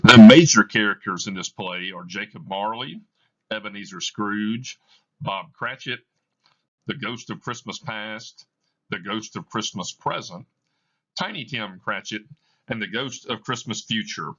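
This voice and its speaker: A male teacher reading a play aloud for a class, explaining slowly and deliberately. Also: fairly low pitch, fairly bright tone, lo-fi sound.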